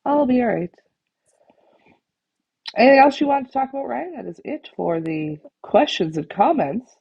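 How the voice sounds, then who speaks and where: A woman's voice: on the high side, expressive, normal speed, creaky, husky, highs cut off. A woman with a head cold, co-hosting and reading out viewer comments with frequent laughter. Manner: laughs often, reads comments aloud.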